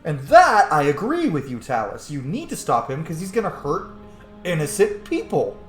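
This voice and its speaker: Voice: mid-range voice, huge pitch swings, normal speed. A gamer giving casual, opinionated playthrough commentary with some swearing. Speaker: gaming video host. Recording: echoey room, faint game music.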